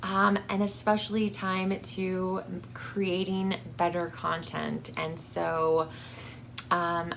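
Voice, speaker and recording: Slow, halting woman vlogger over background noise. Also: fairly low pitch, fairly bright tone, low-quality recording. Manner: halting, rambling, effusively grateful. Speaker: book channel vlogger. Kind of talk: casual personal update to viewers. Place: basement with air conditioning running.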